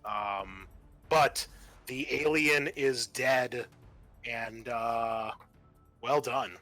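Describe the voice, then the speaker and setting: Male game master, forceful bright tone, halting improvised narration, music behind him. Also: mid-range voice. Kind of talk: narrating a tabletop roleplaying game.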